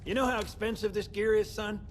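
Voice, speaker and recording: An actor playing a fussy, exasperated military armorer in a game, complaining briskly. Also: male voice, high voice, steady tone, heavy background noise, close to an everyday voice.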